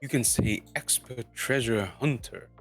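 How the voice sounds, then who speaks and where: A man voicing a gruff, businesslike dwarf adventurer in a storybook, arguing emphatically. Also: mid-range voice, unhurried, over loud music, close to an everyday voice. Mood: brusque, insistent.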